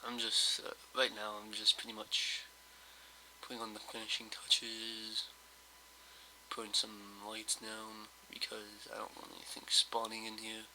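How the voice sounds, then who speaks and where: A man who sounds slightly hoarse from just waking up, giving casual, rambling commentary over his gameplay with lots of hesitations and fillers. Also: lowish voice, monotone, measured pace, bright, forceful tone, a little hiss or hum, rough recording. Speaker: let's play host.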